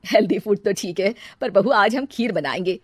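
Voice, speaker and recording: A woman's voice: mid-range voice, expressive, moderate pace, no room sound, clean studio sound, natural voice. A woman voicing a kindly, encouraging mother-in-law in a cartoon family story. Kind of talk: cartoon family drama acting.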